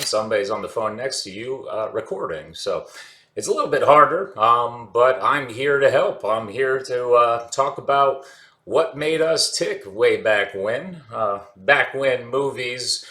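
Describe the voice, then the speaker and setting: A man's voice: mid-range voice, a bit bright. A man in his forties hosting a casual vlog, talking in a rambling way with many fillers and restarts. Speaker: channel host. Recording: echoey room with faint music. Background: faint background music.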